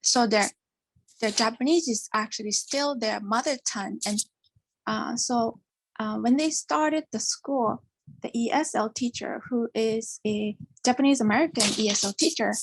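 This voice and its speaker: A woman's voice: fairly high pitch, moderate pace, no room sound, rough recording. A woman from Hawaii asking a question at an online talk, hesitant with many fillers. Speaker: audience member asking a question.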